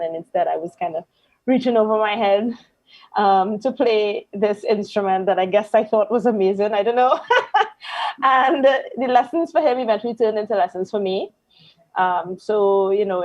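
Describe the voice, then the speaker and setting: A woman with a Trinidadian accent talks through her story in an easygoing way, her speech scattered with ums and small laughs. Her voice is mid-pitched. The audio is dry and a little muffled. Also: no echo. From Trinidad and Tobago. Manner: relaxed storytelling, frequent ums and soft laughs. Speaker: guest musician. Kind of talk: casual interview chat.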